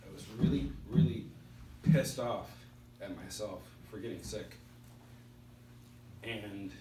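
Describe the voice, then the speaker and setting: A middle-aged man with a stutter gives a personal testimony at a recovery meeting, speaking in halting, spaced-out phrases with pauses. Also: fairly low pitch. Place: indoor meeting room. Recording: roomy, distant mic with background hiss.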